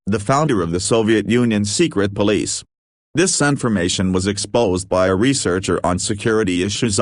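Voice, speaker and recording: A man narrating a scripted news analysis in a steady, formal read. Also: on the low side, no room sound, clean studio sound.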